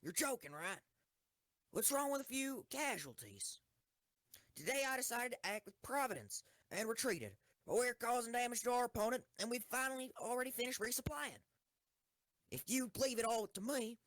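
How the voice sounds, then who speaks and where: A woman's voice: fairly high pitch, lively intonation, moderate pace, no echo, lightly acted. A woman acting a ruthless, hot-tempered young female tank commander in an audio drama, commanding and intense. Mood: defiant.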